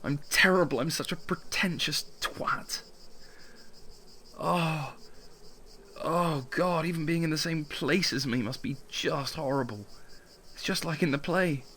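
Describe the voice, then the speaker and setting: An actor playing an anguished, bitter young playwright in love in an audio drama, self-lacerating and sarcastic, with halting repetitions. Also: male voice, a little high, sharp tone, a little hiss or hum. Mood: despairing. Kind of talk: naturalistic audio drama acting.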